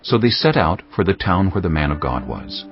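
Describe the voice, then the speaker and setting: A man narrating a dramatized Bible story in a measured, scripted storytelling style. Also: deep voice, very animated, husky, soft background music. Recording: slightly dull sound.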